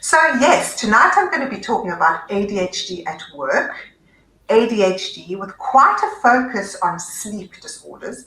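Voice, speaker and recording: A woman's voice: medium pitch, a bit bright, roomy sound, low-quality recording. A woman from South Africa with a South African accent, a psychiatrist giving a gracious, articulate webinar talk over a video call. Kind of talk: webinar presentation.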